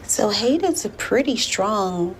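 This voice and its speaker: A woman speaking as a therapist in a session, with deliberate, formal phrasing. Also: mid-range voice, sing-song, poor audio. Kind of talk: measured counseling session talk. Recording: clear background room noise.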